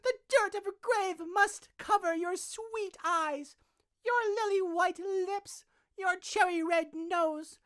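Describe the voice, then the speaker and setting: A woman's voice: very high-pitched, fairly bright tone, heavily acted. A woman playing an overwrought, grieving young female lover in a Shakespeare audio drama, wailing and drawing out the words. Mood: shocked grief. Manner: overwrought, wailing, drawn-out delivery. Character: amateur player as Thisbe, melodramatic young female lover in mourning.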